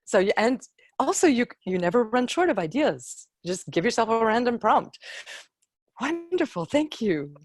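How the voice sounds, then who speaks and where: A woman leading an online improv workshop for writers, encouraging and unhurried, often trailing off mid-thought. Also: on the high side, sing-song, no echo, clean studio sound. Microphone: video call.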